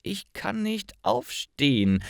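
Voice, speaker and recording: A man's voice: high-pitched, big pitch swings, slow pace, vocal fry, clear, resonant tone, no room sound, clean studio sound, pitched above the performer's own voice, heavily acted. A man voicing a young woman, a cozy, playful girlfriend who feels the cold, in a language lesson story. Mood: whiny, cold.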